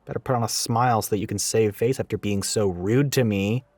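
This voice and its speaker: A man's voice: lowish voice, a little fast, darkish tone, no echo, close to an everyday voice. A man acting out a catty, mischievous retail worker in an audio roleplay, with a sassy, teasing, theatrical delivery.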